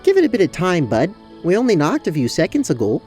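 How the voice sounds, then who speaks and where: Small goat-man monk, male actor, easygoing and hesitant, animated intonation, fantasy roleplay drama with clear music underneath. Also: sits high, fast talker. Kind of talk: tabletop roleplay audio drama.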